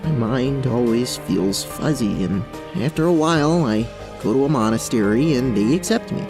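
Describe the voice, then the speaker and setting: A man's voice: mid-range voice, lively intonation, normal speed, over music, natural voice. A man voicing a small, easygoing goat-man monk in a tabletop audio drama, talking casually with some hesitation. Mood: wistful.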